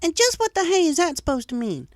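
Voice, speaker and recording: A man's voice: extremely high pitch, big pitch swings, quick pace, dry recording, studio quality, voice pushed up. A man voicing a feisty, innocent young girl pony in a storybook reading. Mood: indignant, furious. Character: feisty, indignant little filly, young schoolgirl pony.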